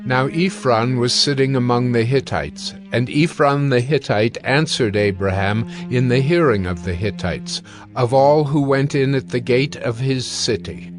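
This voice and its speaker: A man narrating scripture from a script in a measured, formal manner. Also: medium pitch. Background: soft music.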